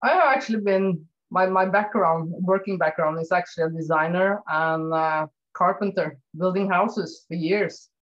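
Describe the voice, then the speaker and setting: A woman's voice: fairly low pitch, steady tone, normal speed, few pauses, lo-fi sound. A woman in her fifties from Norway, a non-native English speaker, talking hesitantly with many fillers as an interview guest. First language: Norwegian. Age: 50s.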